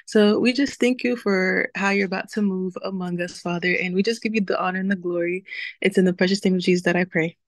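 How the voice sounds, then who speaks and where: A woman's voice: fairly low pitch, steady tone, fast, no room sound. A young woman opens an online webinar on a video call, leading a short prayer before welcoming everyone and reading the chat aloud. Her tone is upbeat and chatty, with frequent laughs. The sound is dry and close. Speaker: webinar host.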